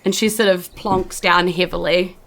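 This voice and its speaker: A woman's voice: fairly low pitch, fairly even intonation, normal speed, a bit bright. A woman with a New Zealand accent casually narrating as game master, improvising in loose, conversational, trailing phrases.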